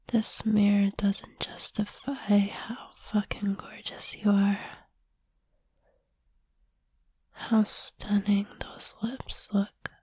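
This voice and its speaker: Woman acting slow, whispering, possessive girlfriend. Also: mid-range voice, no echo. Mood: adoring, tender. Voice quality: soft, breathy whisper. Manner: slow, lingering, coaxing delivery. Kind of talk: intimate whispered audio roleplay, ASMR-like.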